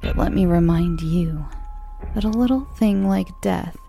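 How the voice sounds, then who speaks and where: A woman's voice: lowish voice, a little slow, gravelly, faint music behind. A woman voicing a taunting vengeful ghost. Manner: soft, whispery, mocking. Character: vengeful murdered ghost taunting their killer. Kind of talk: horror monologue reading.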